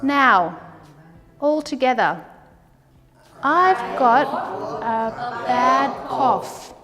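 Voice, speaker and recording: A woman in her thirties teaching a language class, speaking slowly and repeating words so learners can model the sounds. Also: on the high side, lively intonation, big-room sound. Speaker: ESL teacher. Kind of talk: classroom teaching, slow and clear. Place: large classroom with brick wall, indoors.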